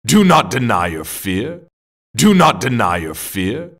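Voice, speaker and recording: Forceful, menacing dark warrior. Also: male voice, medium pitch, very animated, a little slow. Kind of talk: video game battle voice lines.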